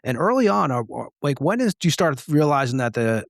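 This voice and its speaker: A man's voice: on the high side, very animated, a little fast, creaky, dry recording, studio quality. A man hosting a casual music interview, asking informal, loosely phrased questions. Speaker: podcast host, interviewer.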